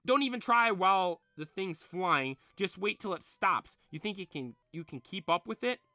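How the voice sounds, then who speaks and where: A man's voice: sits high, expressive, moderate pace, bright, forceful tone, dry recording, clean studio sound, pitched above the performer's own voice, full character voice. A man voicing a folksy, blustering rat creature with slangy, drawling speech, retelling a comic book. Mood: alarmed, scolding.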